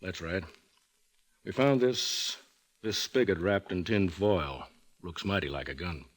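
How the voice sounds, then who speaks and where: Deep-voiced, grave country sheriff. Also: male voice. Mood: grave, regretful. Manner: measured, folksy, reluctant. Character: grave, sympathetic small-town sheriff. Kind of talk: 1950s radio drama acting.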